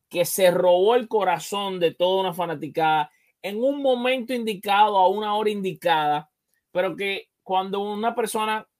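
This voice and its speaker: Emphatic male sports show host. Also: high-pitched. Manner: emphatic, repeats phrases for emphasis. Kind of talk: casual baseball discussion.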